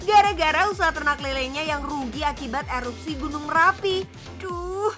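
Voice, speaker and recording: A woman's voice: sits high, moderate pace. A woman, narrating a scripted TV magazine feature in a playful, chatty way. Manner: playful, chatty, addresses viewers as boss. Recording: music bed under the voice. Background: background music.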